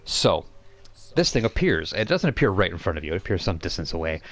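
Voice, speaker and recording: A man with a low voice acts as game master for a tabletop game. He explains the scene informally and haltingly, as if chatting with friends at the table. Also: sing-song, a bit bright. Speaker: game master and stream host. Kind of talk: casual tabletop game narration.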